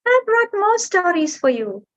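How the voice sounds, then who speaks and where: A woman doing a tiny sweet storybook bird, very high sing-song pleading voice that sits far above her own, chatty and eager, on a dull lo-fi recording. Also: sing-song, measured pace, fairly bright tone, full character voice.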